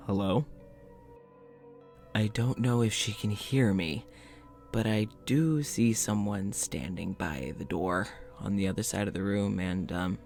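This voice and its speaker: A man's voice: on the low side, creaky, a little mellow. A man playing a polite, uneasy scientist in an audio drama, speaking in a reflective, hesitant, conversational way, as if into a journal. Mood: awkward, startled. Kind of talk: audio drama monologue and dialogue.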